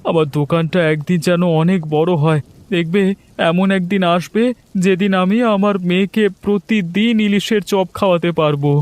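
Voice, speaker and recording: Man playing a high-voiced, sorrowful poor father. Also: normal speed, darkish tone, natural voice. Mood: sad, earnest. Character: poor, devoted, guilt-ridden village father. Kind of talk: Bengali cartoon voice acting.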